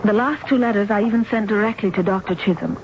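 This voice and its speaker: A woman's voice: medium pitch, fairly even intonation, fast, lightly acted. An actress playing a determined, anxious young woman in a 1970s radio drama, earnest and composed. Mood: earnest, guarded. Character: determined young woman anxious about her missing father. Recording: noisy, muffled old radio broadcast recording.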